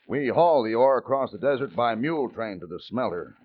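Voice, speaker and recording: An actor playing a matter-of-fact mine boss in a vintage radio drama. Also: male voice, medium pitch, lively intonation, fairly quick, close to an everyday voice. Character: plain-spoken male mine boss in the desert. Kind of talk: 1940s radio acting. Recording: muffled old radio recording, faint hiss.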